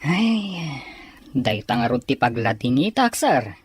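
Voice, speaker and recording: A man's voice: medium pitch, big pitch swings, unhurried. A man playing a deferential assistant reporting to his boss in a serial drama. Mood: apologetic. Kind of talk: Ilocano radio-style serial drama acting.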